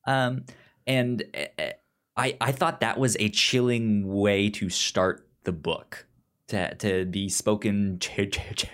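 A man's voice: fairly low pitch, fairly even intonation, takes it slowly, a bit bright. A man speaking as a podcast co-host in casual discussion, often stuttering and repeating sounds and words as he goes. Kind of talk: casual discussion of comics and film.

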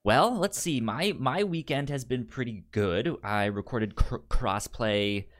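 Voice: mid-range voice, a little slow. A podcast co-host chatting casually about films, often stammering and repeating words and syllables. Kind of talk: casual film podcast chat.

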